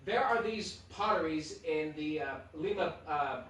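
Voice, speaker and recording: A man's voice: fairly high pitch, measured pace, fairly bright tone. A man playing an earnest comedy panel contestant who insists on a tall tale. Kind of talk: telling an anecdote on a comedy stage. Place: live theatre stage. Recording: echoey stage from far off, faint noise.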